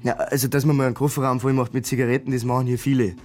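An actor playing an evasive small-town early pensioner in a radio drama, laconic and defensive, with a Bavarian accent. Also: male voice, mid-range voice, level tone, very fast, a little mellow, soft background music, close to an everyday voice. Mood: grudgingly explaining. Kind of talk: naturalistic radio drama dialogue.

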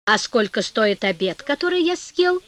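A woman's voice: on the high side, sing-song, moderate pace, dry recording. A woman voicing a cocky, penniless nobleman in a storybook tale, with a theatrical, mock-courteous and taunting manner. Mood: smug, courteous. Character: cocky, penniless nobleman, polite and cunning trickster.

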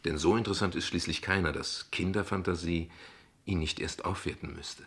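A man playing an evasive, ironic father in a radio play, speaking wordily and with hesitations, in a husky voice. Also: deep voice, fairly even intonation, fairly quick, a bit bright, exaggerated voice. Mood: sardonic, defensive. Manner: wordy, sardonic, deflecting, with hesitations. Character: evasive, ironic, verbose father defending his unconventional family. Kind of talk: dialogue radio play acting in standard German.

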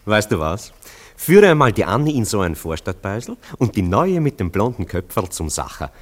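An actor in a German radio play, playing a worldly, mocking confidant, ironic and teasing. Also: male voice, on the low side, big pitch swings, normal speed. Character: dry, sardonic male confidant, worldly and mocking.

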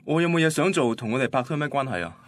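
A man's voice: mid-range voice, sing-song, rapid-fire, natural voice. An actor playing a heartbroken young man recounting his first love in a radio drama, wistful and self-deprecating, with a Hong Kong Cantonese accent. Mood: defensive. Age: young adult. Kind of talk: radio drama narration and dialogue.

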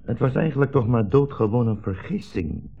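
An actor playing a mild, patient old blind rabbi in a 1960s radio play, speaking politely and with measured precision. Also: male voice, mid-range voice, expressive, gravelly, slightly rough. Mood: calmly insistent. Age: older. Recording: muffled old radio recording, narrow band.

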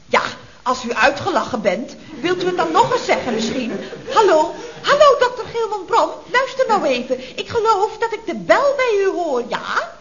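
Een actrice die een babbelende, plagerige secretaresse speelt in een radiokomedie uit de jaren zeventig, met theatraal opgewekt getater. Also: female voice, very high-pitched, very animated, normal speed, crowd noise. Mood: chatty, amused. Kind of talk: 1970s radio comedy acting. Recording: dull vintage radio recording, audience laughter.

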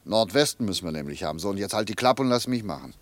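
An actor playing a bossy, nervous and irritable vagabond who bickers and gives orders in a radio play. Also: male voice, lowish voice, lively intonation, very fast, dry recording, close to an everyday voice. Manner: bickering, commanding, agitated. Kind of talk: German radio play acting.

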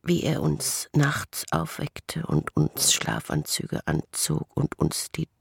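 A man voicing a scarred, obsessive twin brother in a radio drama, insistent and secretive, with a breathy, raspy whisper. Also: on the high side, big pitch swings, takes it slowly, no room sound, studio quality, heavily acted. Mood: urgent, secretive. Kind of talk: intimate radio drama acting.

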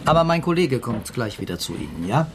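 An actor playing a patronising, sceptical police detective in a naturalistic radio crime drama, officious and placating in manner. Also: male voice, mid-range voice, very animated, brisk, background noise, close to an everyday voice. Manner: officious, placating, hesitant fillers.

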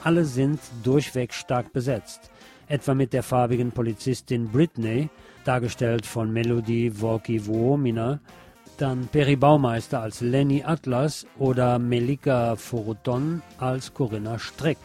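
Mature male radio host, medium pitch, deliberate review delivery with music underneath. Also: steady tone, warm tone. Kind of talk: spoken review on a radio show.

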